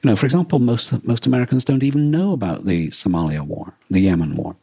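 A man co-hosting a podcast in reflective conversation, speaking earnestly in halting, emphatic phrases. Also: mid-range voice, gravelly, mellow, slightly rough, dry recording. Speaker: podcast co-host.

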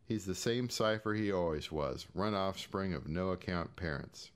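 Low-pitched American man reading a short story. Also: measured pace, smooth. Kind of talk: scripted audiobook-style fiction narration.

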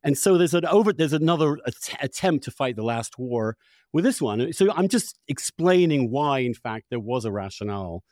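A man's voice: mid-range voice, lively intonation, moderate pace, darkish tone, dry recording, studio quality. An older man hosting a conversational political discussion, emphatic and often correcting himself as he goes. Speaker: podcast host.

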